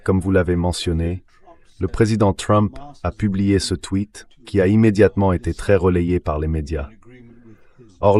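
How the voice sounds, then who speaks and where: A man speaking as an expert guest in a measured, explanatory way, in a translated synthetic voice-over. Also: low voice, darkish tone. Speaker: expert guest, international relations professor. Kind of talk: translated voice-over of political analysis.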